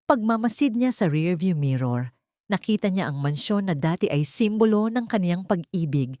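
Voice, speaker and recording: Low-pitched, expressive woman storyteller. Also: dry recording, studio quality. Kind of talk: dramatic scripted narration.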